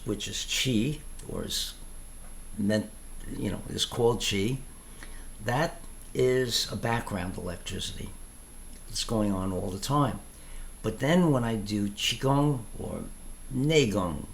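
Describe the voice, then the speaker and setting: A man thinking aloud in an informal explanatory monologue, halting and reflective, often pausing mid-phrase. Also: on the low side, gravelly, slight background noise. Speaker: tai chi teacher.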